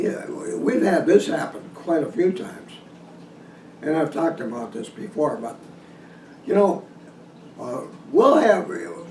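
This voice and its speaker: A man giving an informal instructional hunting talk in a halting, folksy way, repeating and restarting his phrases. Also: a little high, darkish tone. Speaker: deer hunting instructor hosting a video seminar. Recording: roomy echo, distant mic, some noise.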